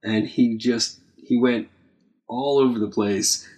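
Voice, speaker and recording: A young man chatting casually, rambling with many ums and uhs and trailing off quietly. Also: medium pitch, steady tone, takes it slowly. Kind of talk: casual podcast chat.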